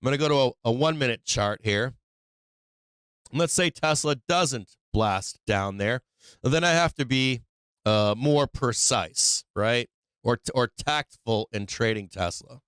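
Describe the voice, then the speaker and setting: Guy going hoarse from being sick, casual stock stream host, mid pitch, dry close mic, keeps saying right?. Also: lively intonation, measured pace, a bit bright, no room sound. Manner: thinks aloud, asks rhetorical "right?". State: losing his voice from illness. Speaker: stock market livestream host. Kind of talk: casual trading chart commentary.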